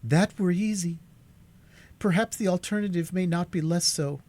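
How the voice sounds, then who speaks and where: A man playing a proud elder sister from a storybook, her voice lifted higher, solemn and earnest. She speaks with firm, measured dignity, even under strain. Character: proud, calm elder sister, young woman.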